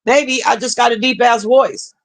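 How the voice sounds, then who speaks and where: A middle-aged woman with a deep, husky smoker's voice, chatting profanely and bluntly with her livestream viewers. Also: moderate pace. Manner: profane, blunt, animated banter. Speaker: livestream host reading chat. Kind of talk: casual livestream chat.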